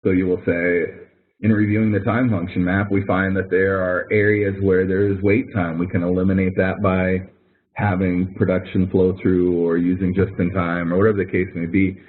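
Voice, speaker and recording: Low-voiced man teaching over a tinny webinar line. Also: little pitch movement, normal speed, a little hiss or hum. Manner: casual, explanatory, thinks aloud while demonstrating. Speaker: instructor. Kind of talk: webinar walkthrough. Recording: phone-like narrow audio from a webinar.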